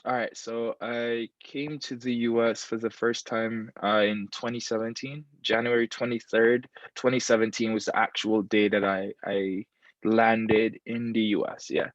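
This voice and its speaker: A man's voice: fairly low pitch, flat delivery, unhurried, no room sound. A man in his twenties from Guyana, talking casually as an interview guest, repeating himself with many fillers. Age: 20s. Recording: dull lo-fi sound.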